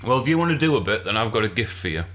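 A man's voice: on the low side, sing-song, racing, fairly bright tone. A man co-hosting a podcast in casual banter, with a jokey manner and crude puns. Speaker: podcast co-host.

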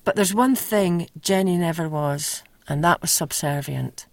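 Woman doing documentary commentary, lowish voice, very dry recording. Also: unhurried, no room sound. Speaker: actress commenting as documentary contributor.

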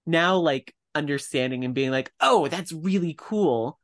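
A man's voice: fairly high pitch, a little slow. A man co-hosting a podcast, chatting casually and self-deprecatingly, often quoting his own thoughts aloud. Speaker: podcast co-host. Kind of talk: casual chat.

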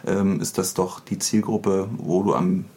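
A man's voice: low-pitched, fairly even intonation, unhurried, mellow. A man hosting a podcast, casually interviewing a guest with wry, playful asides. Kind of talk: casual, joking interview chat.

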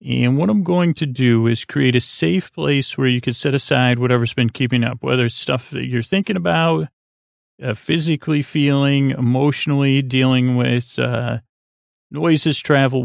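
A man with a creaky voice hosting a bedtime podcast, talking in a calm, gentle, meandering way with halting self-interruptions. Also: mid-range voice, dry recording. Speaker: podcast host. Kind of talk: rambling, soothing bedtime podcast monologue.

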